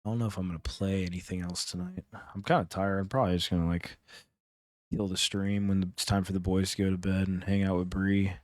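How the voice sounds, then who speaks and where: A man with a naturally deep voice chatting casually and rambling on a gaming livestream, with slang and swearing, into a close mic. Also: fairly even intonation, fairly quick, dry recording. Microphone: close streaming mic, amplified.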